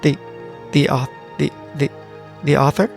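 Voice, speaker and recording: A man playing a tabletop game, narrating his character in a measured, earnest, slightly hesitant way. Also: fairly high pitch, fairly even intonation, vocal fry. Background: soft music.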